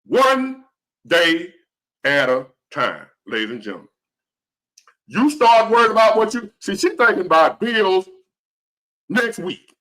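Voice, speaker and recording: Rich: A man in his fifties with a high, bright voice gives a motivational talk straight to his viewers. His pitch leaps up and plunges down as he drives points home, repeating key phrases and dropping to a hush before rising again. The delivery is earnest and preachy. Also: very animated, measured pace, pauses often, poor audio. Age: 50s. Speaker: motivational vlogger.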